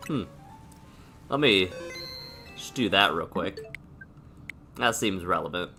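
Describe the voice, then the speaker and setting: A sniffly man with a sore throat talks slowly, with plenty of pauses and hums as he thinks aloud. His voice sits a little low but sounds bright and forceful. Faint game music plays behind him. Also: lively intonation. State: stuffy and sniffly. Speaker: streamer. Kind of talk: casual gameplay commentary.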